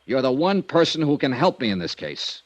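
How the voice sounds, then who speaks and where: A man's voice: medium pitch, sing-song, brisk, lo-fi sound. An actor playing a tough, shrewd private insurance detective in a vintage radio drama, an American man with a blunt, hard-boiled, interrogating manner. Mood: frank, earnest.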